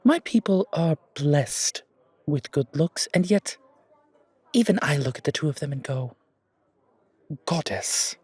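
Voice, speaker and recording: A man plays an elegant, sociable fantasy shopkeeper with a wry streak. His voice is clean and sits a little high, and he speaks in unhurried, theatrical phrases with formal wording. It sounds close and dry, like an intimate audio roleplay. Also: lively intonation, breathy edge, dry recording. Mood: amused, proud. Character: elegant, wry, sociable male coffee shop owner, non-human.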